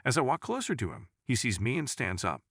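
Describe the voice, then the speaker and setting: A man's voice: medium pitch, very animated, fairly quick, fairly bright tone, dry recording, clean studio sound. A man narrating a scripted first-person crime story in a dramatic storytelling manner. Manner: dramatic storytelling, acts out dialogue.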